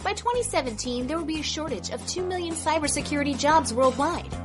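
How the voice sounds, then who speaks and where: A female announcer with a high, animated voice gives a persuasive sales pitch in a radio spot. Clear music plays behind her. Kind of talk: scripted radio commercial. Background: music bed.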